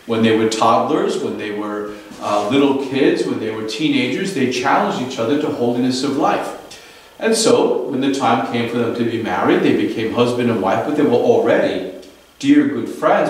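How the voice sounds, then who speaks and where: A man in his fifties, a priest giving a daily homily in a small chapel, telling a saint's story in a relaxed, conversational way, recorded by a camera across the room. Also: medium pitch. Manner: conversational storytelling, fillers and restarts. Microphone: far camera. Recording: echoey chapel from far off.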